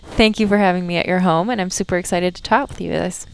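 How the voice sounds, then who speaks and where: A woman's voice: on the low side, fairly even intonation, fast talker, gravelly, smooth. A young adult woman hosting a podcast, chatting with guests in their home with upbeat, frequent short affirmations. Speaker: podcast host. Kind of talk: casual interview chat.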